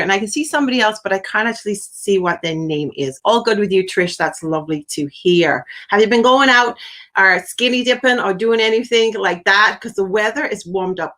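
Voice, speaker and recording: A woman's voice: on the low side, a bit bright. A middle-aged woman, a spiritual medium, chatting casually on a livestream in an upbeat way and greeting viewers by name. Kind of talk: casual live-stream chat.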